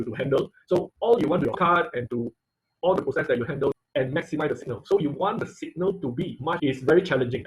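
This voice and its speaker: Halting man teaching an online class. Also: mid-range voice, rough recording. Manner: halting, repeats phrases, often seeks confirmation with "okay" and "right". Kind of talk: informal live technical demonstration lesson.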